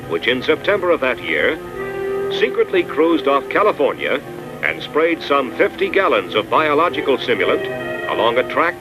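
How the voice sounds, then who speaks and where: A man's voice: medium pitch, poor audio. A man narrating a 1950s military training film in a formal, matter-of-fact documentary style. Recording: 1950s film soundtrack, loud music. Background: loud music.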